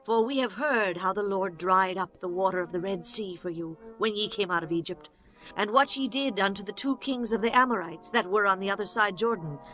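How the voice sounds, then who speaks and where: A woman's voice: medium pitch, a little fast, pushed and bright, faint music behind, lightly acted, poor audio. A woman playing a resolute ancient Canaanite woman, speaking earnestly and dramatically in a scripture dramatization. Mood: earnest, awed.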